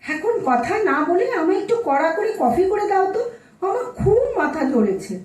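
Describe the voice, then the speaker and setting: A woman's voice: very high-pitched, sing-song, moderate pace, darkish tone. A woman acting a petulant young married daughter in an audio drama, huffy and complaining about her in-laws. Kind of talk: Bengali audio-play acting on stage. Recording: echoey room, distant mic.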